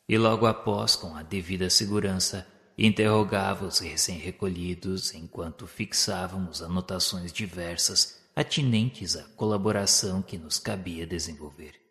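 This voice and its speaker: A man giving a solemn, measured narration of a spiritual novel in a radio drama. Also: sits low, a bit bright, big-room sound. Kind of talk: scripted literary narration.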